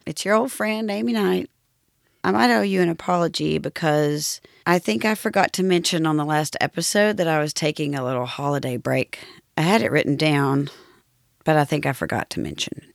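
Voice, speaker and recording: Creaky-voiced woman podcast host chatting casually. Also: low voice, moderate pace, no echo, clean studio sound. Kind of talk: casual chat.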